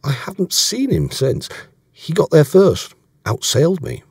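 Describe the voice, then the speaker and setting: A man's voice: a little high, sing-song, unhurried, a little mellow, husky, no echo, clean studio sound, voice pushed up, full character voice. A man voicing an earnest, awkward English yachtsman in an audiobook, answering in a short, dogged, defensive way. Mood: uneasy, offhand. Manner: short, defensive, offhand replies.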